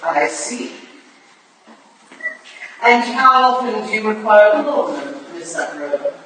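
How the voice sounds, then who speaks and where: A woman's voice: medium pitch, animated, unhurried, fairly bright tone. Actress as a stern lady detective, echoey room. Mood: probing. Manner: curt, dry, commanding. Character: stern, sharp-witted Victorian lady detective, curt and dry. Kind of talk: staged play reading. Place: live staged reading in an echoey room. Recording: echoey room, distant mic, faint noise.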